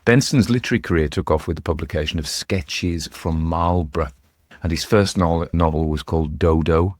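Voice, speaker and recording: A man with a deep voice hosts in a casual, literary way. He talks like a storyteller chatting to regular listeners, drifting into rambling asides as he goes. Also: no echo, studio quality. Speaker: host and narrator of a ghost story show.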